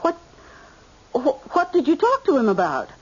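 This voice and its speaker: An actress playing an anxious, fussing American mother in an old-time radio drama, halting and repeating herself. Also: sits high, wildly swooping pitch, muffled recording. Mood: concerned.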